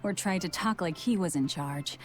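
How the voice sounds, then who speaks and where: A woman's voice: lowish voice, normal speed, soft background music, lightly acted. An actress playing a tough, guarded young woman in a video game, blunt and commanding with a dry manner. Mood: dry, wistful.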